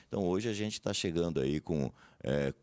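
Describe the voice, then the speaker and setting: A man's voice: low voice, moderate pace, a little mellow, no room sound. A man, a guest in a radio interview, talking in a rambling, hesitant way and tagging his sentences with "né?". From Brazil, grew up in São José dos Campos. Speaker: guest, company director.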